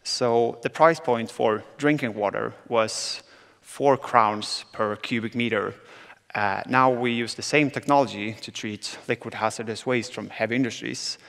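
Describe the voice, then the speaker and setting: A man's voice: mid-range voice, fairly even intonation, measured pace, vocal fry, fairly bright tone. A young man from southern Sweden with a Swedish accent, speaking as a panel guest with many hesitations. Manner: hesitant, many filler sounds. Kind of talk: panel talk on stage. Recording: reverberant conference hall.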